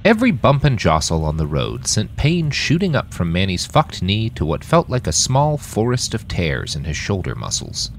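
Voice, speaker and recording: A man reads scripted fiction as a narrator. His voice is low and moves very widely in pitch, with faint noise behind it. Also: wildly swooping pitch, moderate pace, hardly any pauses. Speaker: author and narrator.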